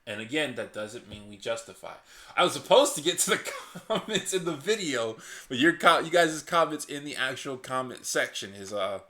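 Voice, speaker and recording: A man hosting his own channel, reasoning aloud in casual commentary. He is passionate and restarts often, and says his naturally loud voice is held low on purpose. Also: sing-song, normal speed, echoey room. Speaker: channel host. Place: hot indoor room.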